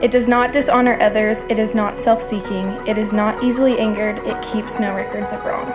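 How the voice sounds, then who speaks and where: A young woman giving a measured scripture reading at a wedding. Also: medium pitch, fairly even intonation. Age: young adult. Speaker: wedding reader. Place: outdoor garden ceremony. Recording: loud music over the voice. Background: loud music.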